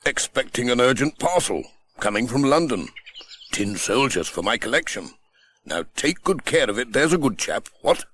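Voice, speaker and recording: A male reader acts out a bluff old army major in a children's storybook. He is bossy but courteous, speaking in clipped, hearty bursts with a very lively, swooping pitch. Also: normal speed, a little hiss or hum. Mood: hearty, commanding. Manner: clipped, hearty military phrases. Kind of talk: dramatised children's storybook reading.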